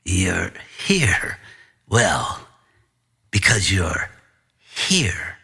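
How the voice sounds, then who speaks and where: A man voicing a sinister, evasive male principal in a noir audiobook, measured and condescending. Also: sits low, big pitch swings, creaky, pushed and bright, husky, voice pushed down, heavily acted.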